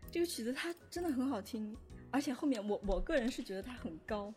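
A woman's voice: a little high, animated, fast talker, darkish tone, faint music behind. A woman chatting casually about creative work, as a composer on an audio drama team. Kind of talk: casual chat.

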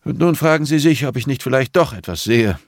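A man voicing a composed, middle-aged blind teacher in an audiobook, patient and measured, with a dryly pointed way of explaining. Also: medium pitch, expressive, no room sound, clean studio sound, voice pushed up, close to an everyday voice. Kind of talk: audiobook character dialogue.

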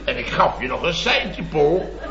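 An actor playing an exasperated, blustering boss in an old radio comedy, telling indignant tales with mimicked quotes. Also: male voice, a little high, very animated, unhurried, a crowd in the background. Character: blustering, exasperated male boss of a building firm. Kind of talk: 1970s Dutch radio comedy acting. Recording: muffled old radio recording, roomy, studio audience.

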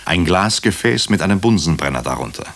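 An actor playing a sober doctor who narrates a crime story in a radio drama, in a measured, literary way. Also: male voice, low voice, expressive, fairly bright tone. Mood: sober, observant.

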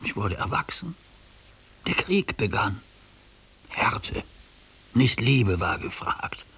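An actor in a German radio play playing an anguished ageing judge who speaks for his neglected heart in a halting, hushed confession. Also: mid-range voice, very animated, creaky, exaggerated voice. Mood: bitter, sorrowful. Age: older. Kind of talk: German radio play acting, intimate monologue. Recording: hissy radio play recording.

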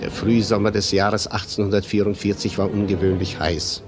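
A man narrating a radio play in a solemn, measured, literary style. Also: lowish voice, little pitch movement, noisy background. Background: music.

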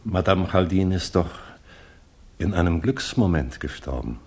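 An actor playing a grave, observant older gentleman, narrating and speaking formally in a measured 1960s German radio drama style. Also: deep voice, expressive, gravelly, slightly rough, full character voice. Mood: concerned, formal. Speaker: narrator and character.

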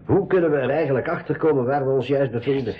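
Actor as brisk, husky, practical adventurer. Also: male voice, medium pitch, faint music behind, close to an everyday voice. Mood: agreeable. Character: calm, agreeable, practical male companion on an adventure. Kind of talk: 1980s Dutch-language school radio drama acting. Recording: dull band-limited 1980s radio recording.

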